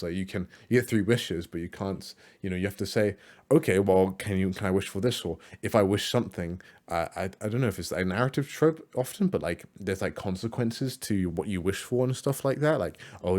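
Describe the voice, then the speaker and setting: Deep-voiced rambling man reacting. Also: a little mellow. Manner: rambling, many fillers and restarts. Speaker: YouTuber reacting to videos. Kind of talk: casual reaction commentary.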